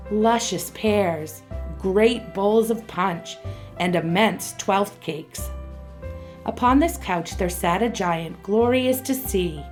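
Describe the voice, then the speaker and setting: A middle-aged woman narrates a storybook radio play at an ordinary, mid-range pitch. She reads long lists steadily and clearly, and soft music plays faintly underneath.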